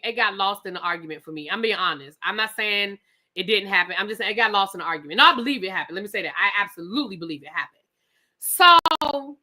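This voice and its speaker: A woman hosting a live TV recap, telling the story casually and acting out the quotes, with some swearing. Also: fairly high pitch. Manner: animated storytelling, acts out quotes; profane, conversational. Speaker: TV show reviewer.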